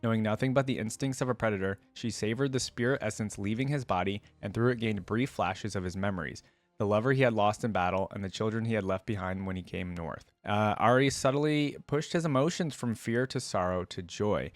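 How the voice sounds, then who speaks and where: A man's voice: fairly low pitch, fairly quick, dry recording. Man reacting casually, dry close sound. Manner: conversational, thinking aloud. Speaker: reaction video host. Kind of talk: casual commentary mixed with reading aloud.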